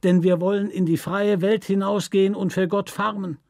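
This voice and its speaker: A man's voice: high voice, steady tone, normal speed, darkish tone, dry recording, clean studio sound, pitched above the performer's own voice. A man voicing a bitter, defiant convict in a German radio story, with plain, repetitive, insistent phrasing. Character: bitter, defiant male convict pleading his innocence.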